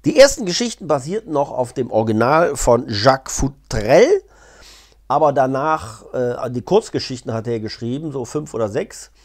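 A man's voice: medium pitch, big pitch swings, normal speed. A man gives a casual, unscripted explainer in a chatty, colloquial way with wry asides. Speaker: vlogger and audio drama reviewer. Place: living room, seated on a sofa indoors. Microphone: clip-on lapel mic.

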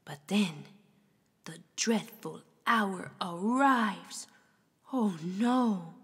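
Casting: female children's storyteller, theatrical and animated, breathy edge, unhurried delivery, reverberant space. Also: medium pitch. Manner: theatrical, with whispers and exclamations. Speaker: author and storyteller reading aloud. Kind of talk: animated children's picture-book read-aloud.

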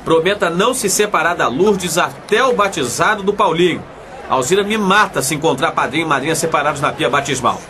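An actor in a comic radio soap, playing an exasperated, wisecracking husband with a sarcastic, exclaiming delivery. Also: male voice, fairly high pitch, a little fast, sharp tone, a little hiss or hum. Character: nagging, exasperated, wisecracking husband and anxious friend. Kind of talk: comic radio soap opera acting with narration.

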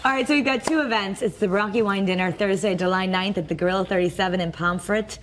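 A woman's voice: medium pitch, fast talker. Bubbly woman TV host, faint hiss. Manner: bubbly, laughs often. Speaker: TV show host. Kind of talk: TV chat interview.

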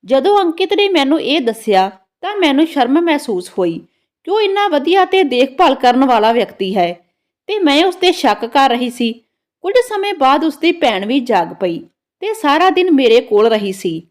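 A woman's voice: sits high, very animated, moderate pace. A woman narrating a scripted first-person story in a dramatic storytelling manner.

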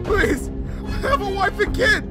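Man acting a panicked, high-pitched pleading victim. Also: animated, measured pace. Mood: terrified. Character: terrified pleading family man, victim of a monster. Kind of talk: comic dub voice acting. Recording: loud music behind.

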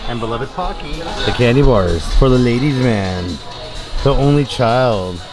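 A man co-hosting a casual vlog, walking through a candy store and talking excitedly to viewers. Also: medium pitch, a little slow. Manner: excitable, jokey, addresses viewers as fam. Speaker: vlog co-host. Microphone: handheld camera. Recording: loud store music behind. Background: store music.

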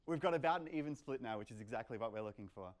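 A young man gives a casual talk at a meetup. His voice sits at an ordinary male pitch, and he sounds playful and chatty as he explains ideas to the room and puts questions to his listeners. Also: animated, racing. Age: young adult. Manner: playful, conversational, peppered with "like" and "kind of". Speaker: meetup presenter. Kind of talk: informal live lecture with audience questions. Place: medium-sized lecture room, indoors.

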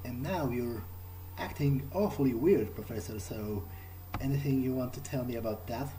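A man's voice: medium pitch, normal speed, warm tone, a little hiss or hum, rough recording. A man improvising in character during a video game, conversational and questioning, with hesitant phrasing. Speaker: let's player roleplaying in character.

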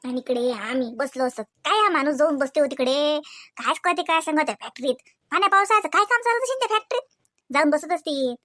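A woman's voice: high voice, expressive, a little fast, fairly bright tone, dry recording. A woman playing a chatty, dreamy, playful young housewife in a comedy cartoon, speaking with a Varhadi Marathi accent from Vidarbha in a dramatic, giggly manner. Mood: wistful grumbling.

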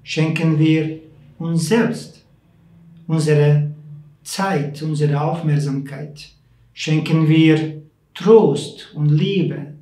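A man, a deacon, giving a measured, preacherly Christmas message. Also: on the high side, warm tone. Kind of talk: Christmas message, slow and solemn. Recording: echoey room, mic at a distance.

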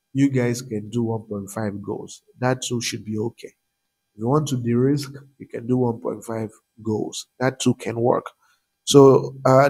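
Young Nigerian man, husky, relaxed chatty host. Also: mid-range voice. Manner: chatty, many "um" fillers, addresses viewers directly. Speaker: betting tips channel host. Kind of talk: casual screen-share commentary.

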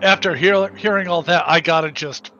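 A man voicing a tough young biker gang leader who gives up, gruff and resigned. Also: high voice, steady tone, moderate pace, bright, forceful tone, dull audio, a little hiss or hum.

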